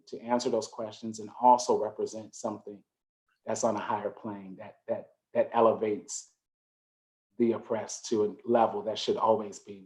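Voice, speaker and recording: A man, a curator speaking on a video call panel, measured and reflective with occasional fillers. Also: lowish voice, a little mellow, poor audio. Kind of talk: panel discussion.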